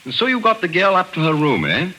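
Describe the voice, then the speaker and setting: An actor playing a skeptical courtroom prosecutor questioning a witness in a 1940s radio drama. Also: male voice, fairly high pitch, wildly swooping pitch, quick pace, bright, forceful tone, exaggerated voice. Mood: probing. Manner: probing, skeptical. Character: dry courtroom prosecutor, middle-aged man. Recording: noisy old radio broadcast.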